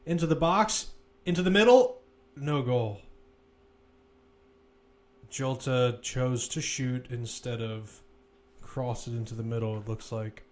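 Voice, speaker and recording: A man giving live play-by-play football commentary as a commentator, measured but lifting when attacks build. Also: medium pitch, darkish tone.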